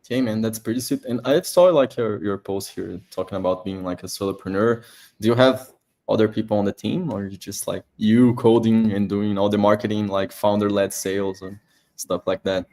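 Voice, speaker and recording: A man's voice: lowish voice, steady tone, normal speed, darkish tone. Joking Brazilian host saying "man". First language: Portuguese. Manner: friendly and joking, says "man" often, laughs a lot. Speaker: host of a live stream. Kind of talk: casual interview on a live stream.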